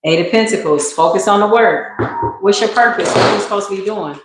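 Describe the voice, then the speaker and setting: A woman's voice: medium pitch, brisk. A woman hosting a casual livestream tarot reading, slangy and exclamatory, talking straight to her viewers. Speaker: spiritual reader and livestream host. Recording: very echoey room.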